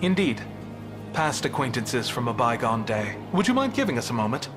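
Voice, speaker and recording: A man's voice: medium pitch, sing-song, normal speed, lightly acted. An actor playing a reserved, courteous man in a fantasy game, speaking formally. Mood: guarded, courteous. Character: reserved, courteous man meeting an old acquaintance. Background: music.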